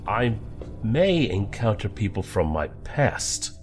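A reserved, weary federal agent in a sci-fi audio drama. His low voice stays terse and dry yet moves expressively in pitch, with music underneath. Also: big pitch swings, slow pace. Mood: uneasy. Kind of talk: science fiction audio drama acting.

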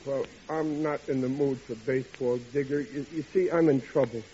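An actor playing a flustered, put-upon husband in a 1940s radio comedy, blustering and hesitating in an aggrieved way. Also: male voice, medium pitch, fairly even intonation, moderate pace, dark tone, a little hiss or hum, natural voice. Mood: worried. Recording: dull old radio recording.

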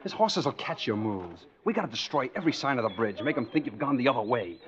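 A man's voice: medium pitch, big pitch swings, fast, a bit bright. An actor playing a cocky, scheming American tough guy in a hard-boiled, slangy 1940s radio thriller. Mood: urgent, feigned remorse. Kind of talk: 1940s radio thriller acting and first-person narration. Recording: dull 1940s radio recording with music.

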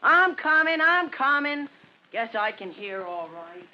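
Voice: medium pitch, expressive, normal speed, pushed and bright. A performer playing a sulky, cross young boy in a vintage radio drama, whiny and resentful. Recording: muffled old radio broadcast.

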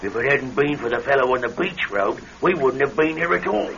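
An actor playing a matter-of-fact, courteous police constable with a colloquial manner, in classic radio drama style. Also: male voice, medium pitch, expressive, vocal fry, a bit bright. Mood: chatty. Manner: colloquial, brisk, courteous to the lady. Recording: muffled, noisy old radio broadcast.

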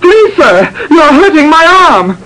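An actor playing a nervous, stammering head waiter in an old radio drama. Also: male voice, very high-pitched, big pitch swings, unhurried, fairly bright tone, slight background noise, exaggerated voice, low-quality recording. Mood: flustered. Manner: stammering, pleading. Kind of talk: 1940s radio acting.

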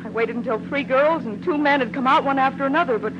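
A woman's voice: sits high, fast talker, natural voice. A woman acts out a tough young American dame in a vintage radio crime drama. She talks in snappy, defiant slang, nervy and guarded. The old recording is muffled and very noisy. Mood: guarded, explaining. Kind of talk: 1940s radio noir acting.

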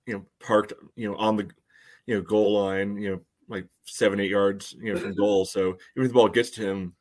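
A man co-hosting a soccer fan podcast, chatting casually in a halting, filler-heavy way. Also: fairly low pitch, level tone, darkish tone. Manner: halting, peppers talk with "you know". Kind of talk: casual sports chat.

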